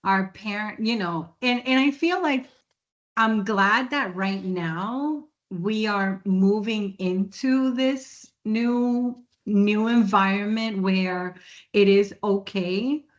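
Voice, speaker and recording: A woman hosting a podcast interview, emotional and halting, repeating words and slipping into Spanish phrases. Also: mid-range voice, dull audio. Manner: emotional, restarts often, mixes Spanish phrases. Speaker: podcast co-host. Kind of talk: casual interview chat.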